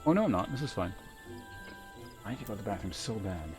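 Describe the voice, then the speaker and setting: A man's voice: on the low side, sing-song, fast talker, over music. Offhand man streaming a game. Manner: muttering to himself and his chat, offhand. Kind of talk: casual gameplay commentary.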